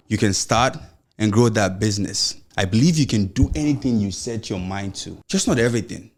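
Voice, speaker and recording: A man's voice: on the low side, darkish tone. A young adult man with a Nigerian accent and a mild stammer, hosting a solo motivational podcast in an earnest, encouraging way. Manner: earnest, encouraging, loose run-on phrasing with many "you know"s. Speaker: podcast host.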